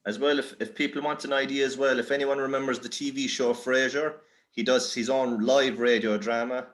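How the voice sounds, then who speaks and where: A man's voice: mid-range voice, steady tone, a little fast, a bit bright. An Irish man chatting informally and slangily on an online group call. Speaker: workshop speaker. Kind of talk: casual, informal chat.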